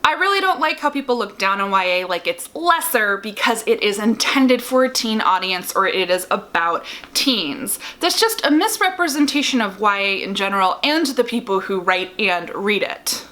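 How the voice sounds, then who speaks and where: A woman's voice: mid-range voice, lively intonation, moderate pace, hardly any pauses, pushed and bright. A woman vlogger giving an opinionated, conversational explainer, emphatically stressing key words by repeating and drawing them out. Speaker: vlogger giving advice on books and writing.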